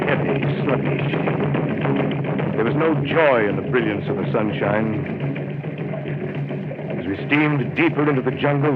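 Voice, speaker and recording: An actor playing a brooding steamboat captain who narrates a jungle voyage in grave, measured 1930s radio drama style. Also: male voice, mid-range voice, close to an everyday voice. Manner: grave, measured, descriptive storytelling. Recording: tinny 1930s radio broadcast with music behind.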